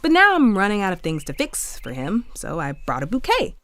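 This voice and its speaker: A young woman playing a flustered, apologetic, naive young widow in an audio drama, talking in halting, casual modern phrases. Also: medium pitch, wildly swooping pitch, a bit bright, no room sound. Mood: chatty, candid. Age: young adult. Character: nervous, chatty, naive very young widow in 1864. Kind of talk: naturalistic audio drama acting.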